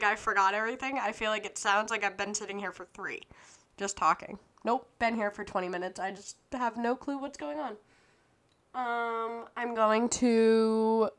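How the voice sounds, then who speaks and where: A young woman in her early twenties with a bright, clear tone, talking alone into her podcast mic. She rambles and keeps restarting her sentences, loose and chatty. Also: mid-range voice. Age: early 20s. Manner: scattered, self-interrupting, chatty. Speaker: podcast host. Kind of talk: rambling solo podcast chat.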